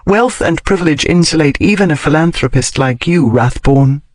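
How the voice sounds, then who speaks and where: A sharp-tongued, logical lady of a bygone era, as a male audiobook narrator puts her on, lifting his voice high and clipping each formal phrase. The sound is close and dry, with no room around it. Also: animated, normal speed, dry recording, lightly acted. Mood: brisk. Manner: crisp, formal period speech rising in pitch. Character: blunt, logical Victorian-era lady, wry and emotional. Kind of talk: audiobook character reading.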